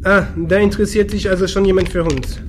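A man's voice: high voice, steady tone, a little fast, music in the background, close to an everyday voice. A man playing a factual, precise spaceship pilot in a sci-fi radio play. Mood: focused, alert. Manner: precise, technical reporting. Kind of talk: sci-fi radio drama dialogue.

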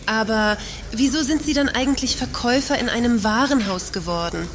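A woman's voice: a little high, fairly quick, lightly acted. A woman playing a dryly ironic shopper in a satirical radio play. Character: dry ironic female shopper keeping score. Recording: clear background ambient noise.

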